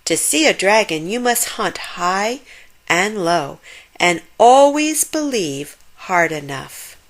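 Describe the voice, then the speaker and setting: A woman's voice: medium pitch, lively intonation, slow. A woman voicing an adventurous, hearty old grandfather in a storybook, with a theatrical, emphatic manner. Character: adventurous, hearty old grandfather, confidently oblivious, fond of tales. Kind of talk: storybook character voice.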